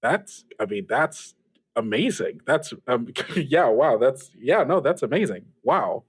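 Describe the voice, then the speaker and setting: Male interview host acted by a man, medium pitch, affable and curious, easily flustered, halting improvised delivery. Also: very animated, slightly rough, exaggerated voice. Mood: flustered, polite. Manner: stammering, awkwardly polite, curious.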